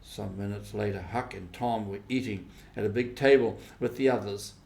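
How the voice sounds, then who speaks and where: A man reading a storybook as scripted narration, in deliberate, separated phrases. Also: fairly low pitch, steady tone, gravelly, a little mellow. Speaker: audiobook reader. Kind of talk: scripted storybook narration, simplified classic novel.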